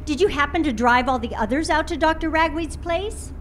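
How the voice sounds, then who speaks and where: A woman plays a young college student in a comic radio play, with a high, girlish pitch. She comes across perky and a little wry. The recording has clear background noise. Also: fairly quick, fairly bright tone. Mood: curious. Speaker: voice actor. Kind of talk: comic audio drama acting.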